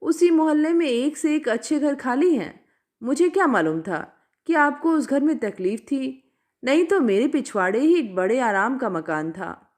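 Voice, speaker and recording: A woman's voice: high-pitched, animated, moderate pace, darkish tone. A woman voicing a pushy, flirtatious female betel-leaf seller from a story, chatty, coaxing and insistent. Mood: gushing, insistent.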